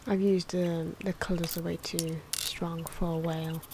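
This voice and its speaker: A woman talks softly in a lowish voice, sometimes slipping into a whispery tone. She muses and corrects herself in an unscripted ASMR drawing commentary. Faint ticking and pen scratching sit behind her. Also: level tone, a little slow, noisy background. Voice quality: soft-spoken, at times whispery. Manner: unscripted, musing, self-correcting. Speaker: ASMR artist narrating own drawing. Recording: clock and pen noises behind. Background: ticking clock, pen scratching.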